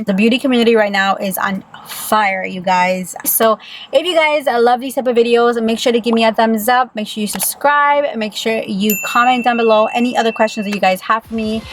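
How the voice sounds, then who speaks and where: A young woman from Peru, chatting casually to her vlog audience while eating and often saying "you guys". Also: fairly high pitch. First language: Spanish. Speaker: beauty YouTuber. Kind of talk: casual mukbang vlog chat.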